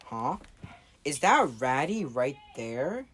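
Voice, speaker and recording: Woman as a slow-talking, doubtful slacker dude. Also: very deep voice, big pitch swings, breathy edge, voice pushed down, full character voice. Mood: puzzled. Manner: slangy, halting, offhand. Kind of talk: amateur improvised character dialogue.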